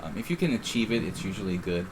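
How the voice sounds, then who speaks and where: A middle-aged man with a faint Philadelphia accent and a fairly low voice talks through a chess game as he plays. He rambles casually and breaks off his own sentences to restart them. A faint hiss of noise sits under the voice. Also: sing-song, quick pace. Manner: casual, rambling, self-interrupting. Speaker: chess streamer. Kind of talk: live chess commentary.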